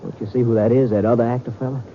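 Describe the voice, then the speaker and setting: A man's voice: medium pitch, fairly even intonation, very fast, heavy background noise, natural voice. An actor playing a wary, plain-spoken townsman with a folksy, rustic way of talking in a radio western. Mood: cautioning. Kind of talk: old-time radio western acting. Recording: dull vintage radio recording, loud music.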